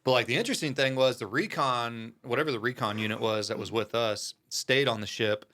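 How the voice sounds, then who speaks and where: A man's voice: medium pitch, brisk, sharp tone. Casual man swapping military stories. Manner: informal, slangy. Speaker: podcast guest, Marine Corps veteran.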